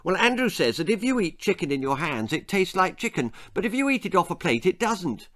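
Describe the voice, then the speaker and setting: A man's voice: high-pitched, very animated, a little fast, a bit bright, pitched above the performer's own voice. A man voicing an oblivious young upper-class boy who chatters inanely and without stopping in a storybook tale. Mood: eager chatter. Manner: incessant, inane, interrupting.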